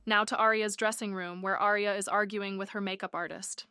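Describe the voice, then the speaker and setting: A woman's voice: medium pitch, fairly even intonation, fairly quick, bright, forceful tone, clear, resonant tone, no echo, clean studio sound. A woman acting as a scene-setting narrator in an audio drama, reading scripted narration. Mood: matter-of-fact. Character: crisp scene-setting female story narrator.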